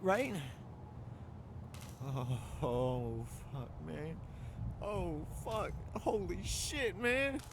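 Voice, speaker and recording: Guy doing a foul-mouthed game pimp, high voice swinging all over, blustering bully, noisy background. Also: lively intonation, extremely slow, pauses often, full character voice. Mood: panicked. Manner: aggressive, swearing, blustering. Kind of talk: scripted video game dialogue.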